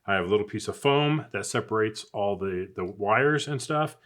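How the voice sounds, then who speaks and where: A man's voice: lowish voice, expressive, moderate pace, a bit bright. A man giving a casual, unscripted product review in a chatty, conversational way, as if thinking aloud. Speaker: product reviewer, channel host.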